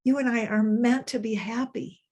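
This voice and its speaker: An older woman giving a sermon as senior minister, earnest, repeating phrases and slowing down for emphasis. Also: a little high, steady tone, darkish tone, husky.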